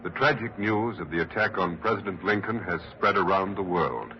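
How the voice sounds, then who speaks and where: An urgent, solemn reporter in an old radio drama. His voice is lowish, formal and dramatic, heard through a muffled broadcast with clear background noise. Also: normal speed. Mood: grave. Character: grave, urgent male radio news reporter. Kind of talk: 1940s radio acting, live news reporting.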